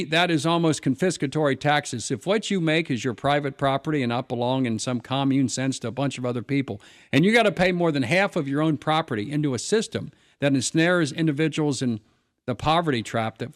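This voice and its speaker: A man's voice: on the high side, no room sound, clean studio sound. A man hosting a talk radio show, blunt and combative, interrupting and rattling off facts.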